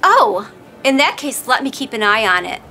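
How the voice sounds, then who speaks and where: An actress playing a scheming middle-aged flight attendant who sounds falsely sweet. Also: female voice, a little high, very animated, normal speed, pushed and bright, heavily acted. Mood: sly. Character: scheming thieving female flight attendant, sly. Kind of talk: scripted comedy sketch acting.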